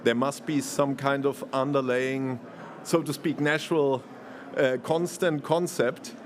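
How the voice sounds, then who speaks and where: A man from Germany giving a conference presentation, halting and full of restarts, laughing easily. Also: mid-range voice, level tone. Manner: hesitant, many fillers and restarts, laughs easily. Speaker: conference speaker.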